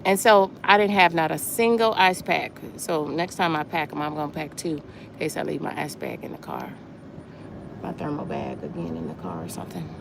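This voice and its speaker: A woman in her late forties talks casually to her livestream viewers, often repeating her words. Her voice sits low with a gravelly edge. There is faint wind noise from her walk outdoors. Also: expressive, moderate pace. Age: 40s. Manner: chatty, repeats phrases, addresses viewers as y'all. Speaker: livestream host.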